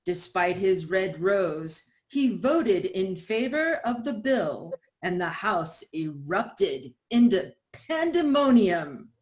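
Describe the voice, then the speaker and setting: A woman's voice: medium pitch, sing-song, slow pace, hardly any pauses. A woman giving theatrical scripted narration in a reader's theater, telling it as a dramatic story.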